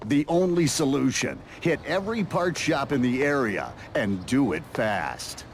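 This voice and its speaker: An actor playing a narrator of a reality show in a video game, delivering scripted, dramatic story links. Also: male voice, mid-range voice, expressive, a little slow, background noise. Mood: teasing drama.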